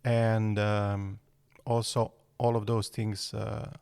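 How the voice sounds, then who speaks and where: A man's voice: lowish voice, flat delivery, slow pace, creaky, darkish tone, clear, resonant tone, no echo. A man, answering podcast interview questions hesitantly with many fillers. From Serbia. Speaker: guest architect.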